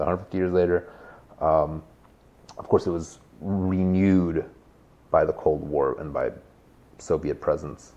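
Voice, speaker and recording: A man's voice: sits low, mellow. A man, a guest author, explaining history in a thoughtful conversational way with frequent restarts. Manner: thoughtful, many restarts and hedges.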